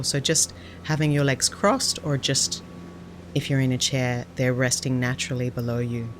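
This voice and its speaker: A woman speaking as a Buddhist nun and meditation teacher, giving calm, gentle, unhurried guided meditation instruction. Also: a bass voice, noisy background.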